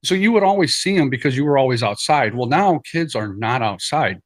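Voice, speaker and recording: Regular guy hosting a livestream, average pitch, chatting casually and plainly. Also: lively intonation, fairly quick. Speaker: live stream host. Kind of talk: casual live-stream chat.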